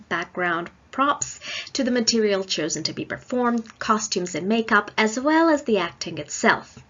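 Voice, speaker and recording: A woman delivering a scripted academic lecture in a measured, explanatory manner. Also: medium pitch, fairly bright tone, slightly rough, lo-fi sound. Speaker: guest lecturer.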